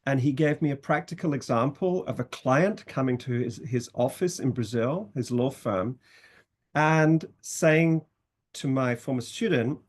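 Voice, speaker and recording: A middle-aged British man, a law professor, presenting a university programme in measured phrases with some fillers. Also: mid-range voice. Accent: British English. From Britain, family from Scotland, raised in England.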